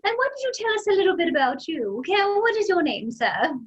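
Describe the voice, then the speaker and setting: A woman's voice: very high voice, big pitch swings, fast talker, highs cut off. A woman playing a gushing, prim British lady interviewer with sing-song politeness, in an improvised comedy sketch. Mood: polite, prim. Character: very high-voiced genteel British lady interviewer, twittery, gushing.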